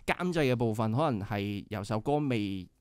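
A man's voice: fairly low pitch, expressive, normal speed, clean tone, no room sound. A young man with a Hong Kong Cantonese accent, a band member guest on a radio show, explaining earnestly with many filler sounds. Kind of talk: casual radio interview. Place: radio studio.

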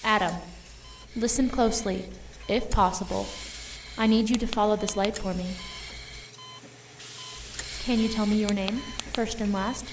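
A woman playing a calm, grave, compassionate military doctor in an audio drama. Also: mid-range voice, a little slow, long pauses, distant mic, a little hiss or hum, lightly acted, low-quality recording. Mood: calm, professional.

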